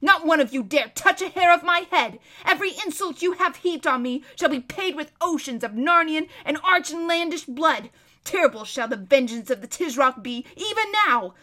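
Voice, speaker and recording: A haughty young prince from a storybook, acted out by a woman reader. The voice is high, sharp and piercing, shrieking grand threats with vain, theatrical rage. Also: expressive, normal speed. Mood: haughty, defiant. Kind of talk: storybook read-aloud.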